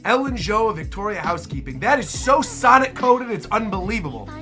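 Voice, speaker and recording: An online host reacts to game trailers in a casual, exclamatory way. The voice is bright and forceful with lively swings in pitch, and faint music plays behind it. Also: fairly quick. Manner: animated, exclamatory, talks to self.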